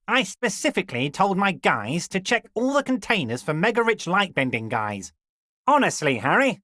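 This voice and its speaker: An actor playing a scheming, jovial middle-aged union boss in a video game, folksy, flattering and sly. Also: male voice, high-pitched, no echo, clean studio sound, heavily acted.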